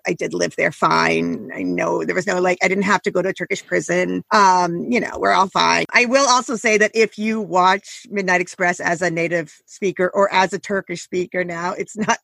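A woman in her forties talking animatedly about a book in a casual discussion, with quick asides. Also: lowish voice, creaky, fairly bright tone, husky, dry recording. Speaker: book podcast co-host.

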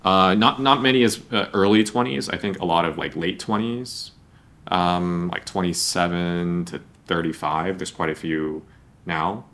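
A man's voice: low voice, a little slow, fairly bright tone. A young man hosting a podcast, asking long, rambling, conversational questions. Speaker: podcast host. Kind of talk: podcast interview.

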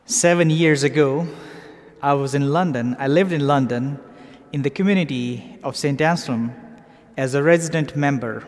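Male preacher, Pakistani accent, deliberate and unhurried delivery, long cathedral reverb. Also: on the high side. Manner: measured, deliberate phrasing in short groups. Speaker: preacher, clergyman. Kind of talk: sermon, evensong meditation. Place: large cathedral, speaking to a congregation.

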